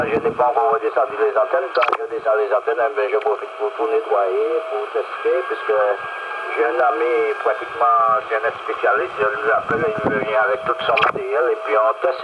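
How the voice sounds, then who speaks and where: Muffled crackly radio, loud noise, martinique accent guy chatting away, repeats himself. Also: on the low side. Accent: Martinican French. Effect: radio transmission. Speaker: amateur radio operator. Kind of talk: casual radio contact chat. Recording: muffled, very noisy shortwave radio transmission.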